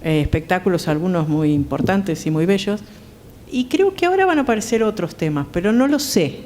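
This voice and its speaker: A woman's voice: lively intonation, fairly quick, a little mellow. A woman with an Argentine accent speaking thoughtfully as a panel guest, tentative and searching for her words. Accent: Argentine Spanish. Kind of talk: thoughtful conversational talk. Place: theatre stage. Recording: background noise with low rumble.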